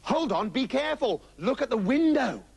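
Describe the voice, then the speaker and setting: A man's voice: very high voice, very animated, moderate pace, creaky, voice pushed up, heavily acted. A man voicing a young, clever and wary goat kid in a storybook, cheeky and defiant. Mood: alarmed, defiant. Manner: plain-spoken, cheeky, defiant.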